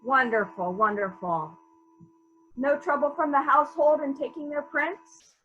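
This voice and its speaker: A woman's voice: high-pitched, a little slow, highs cut off, a little hiss or hum. A woman playing a probing, methodical female police detective in a dramatic reading of a murder mystery, musing and questioning as she goes. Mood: brisk. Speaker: actor in a library's dramatic reading.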